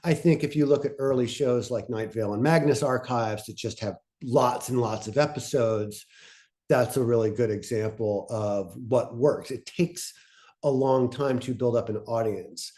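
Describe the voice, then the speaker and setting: A man speaking informally as a panelist, thoughtful and halting, often breaking off to rephrase his point. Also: mid-range voice, a little mellow. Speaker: panelist presenting on podcast pitching.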